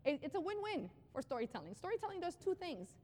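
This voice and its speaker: A woman giving a live conference talk on brand storytelling, energetic and conversational, often repeating phrases for emphasis. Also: very high-pitched, quick pace. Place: large hall with an audience. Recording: long hall reverb.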